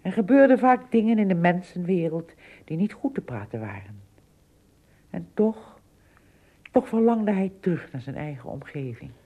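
A woman's voice: fairly low pitch, big pitch swings, normal speed, phone-quality sound. A woman narrating a radio drama as a scripted storybook tale.

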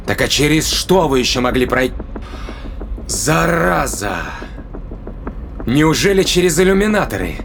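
A man's voice: a little high, sing-song, unhurried, gravelly, long pauses, a bit bright, slight background noise, heavily acted. A man playing a tough, sardonic spaceship crewman in an audio drama, questioning a captive in pointed, colloquial speech. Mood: puzzled, pressing.

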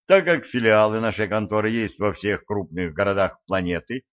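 A man narrating an audiobook, reading the dialogue with small shifts for each character. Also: medium pitch. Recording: dull lo-fi audio.

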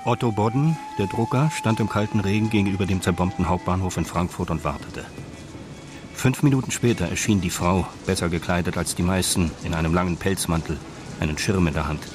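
A man's voice: low voice, fairly quick, music in the background. A man delivering scripted narration for a radio crime drama.

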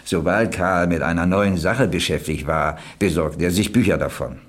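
An actor playing a plain-spoken boiler stoker who reminisces in a folksy, reflective way in a radio crime drama. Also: male voice, deep voice, normal speed. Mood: explaining, admiring. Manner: folksy, ruminative storytelling.

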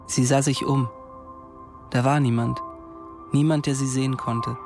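A man's voice: medium pitch, steady tone, measured pace, darkish tone, lightly acted. An actor as earnest male narrator over music. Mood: intent, narrating. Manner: terse, clipped short phrases. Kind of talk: radio drama narration and dialogue.

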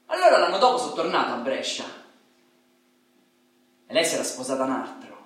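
An actor playing a wistful, rough-schooled young working-class Roman man, rambling colloquially with a Roman accent in a radio play. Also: mid-range voice, very animated, fairly quick, fairly bright tone. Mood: wistful, earnest. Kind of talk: radio theatre monologue. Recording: very echoey, distant mic, slight noise.

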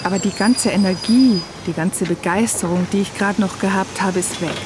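A woman's voice: medium pitch, a little fast, noisy background. A woman reading literary first-person narration for a radio feature, recorded as a close voice-over. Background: music.

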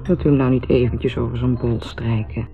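An actress playing a mocking, bitter, lonely wife in a sardonic, theatrical radio drama monologue. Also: female voice, a bass voice, fairly even intonation, normal speed. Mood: mocking, teasing. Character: mocking, bitter, lonely wife musing about her husband. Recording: thin, phone-like 1970s radio recording with music.

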